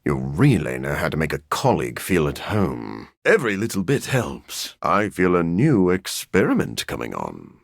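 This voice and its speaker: Brooding vampire scientist, video game character, gravelly, erudite, precise, dryly sardonic, expressive pitch movement, dry close recording. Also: male voice, normal speed, few pauses, dry recording, heavily acted. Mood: earnest, courteous. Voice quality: raspy, gravelly. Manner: formal, precise, deadpan.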